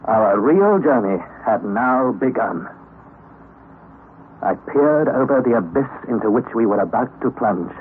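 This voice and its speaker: A young nephew telling of an expedition, voiced by a man in an old radio drama. He narrates in a measured way with lively rises and falls. The recording is thin, phone-like and lightly hissy. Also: mid-range voice, close to an everyday voice. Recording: tinny lo-fi old radio recording, faint hiss.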